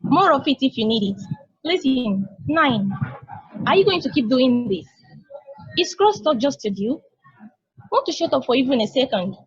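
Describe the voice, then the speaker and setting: A woman's voice: high-pitched, sing-song, moderate pace, long pauses, husky, dry recording, muffled recording, soft background music, full character voice. A woman playing a knowing, teasing little-sister space probe in an amateur table read, casual and explanatory. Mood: brisk, wry.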